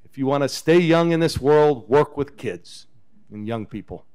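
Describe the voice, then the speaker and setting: Chatty fifties man with a smooth voice. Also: medium pitch, normal speed. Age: 50s. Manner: relaxed, chatty, chuckles often. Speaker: head basketball coach. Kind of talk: post-game press conference. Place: press conference room with backdrop. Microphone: table microphone on a stand.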